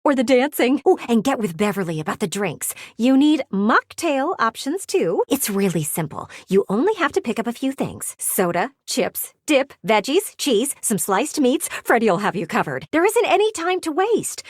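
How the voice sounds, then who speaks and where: A woman's voice: fairly high pitch, no echo, clean studio sound. A woman voicing a video game character, a talking house window who is bossy and theatrical, sweetly condescending and teasing. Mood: brisk, eager, then exasperated, condescending.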